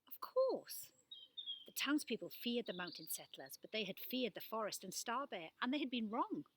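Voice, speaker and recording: A woman reading a children's storybook aloud as a storyteller, with expressive, dramatic delivery. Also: fairly high pitch, a little fast, a little hiss or hum.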